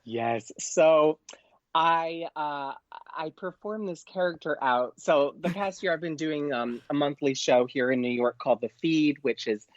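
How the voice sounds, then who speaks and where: A man's voice: fairly high pitch, unhurried, no echo, muffled recording. A man speaking as a performer guest in a casual interview, telling anecdotes in a chatty way.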